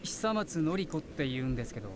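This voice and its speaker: A man's voice: on the high side, big pitch swings, racing. An actor playing a light, casual, teasing young male student in a visual novel game. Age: young adult. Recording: game audio with clear noise and ambience.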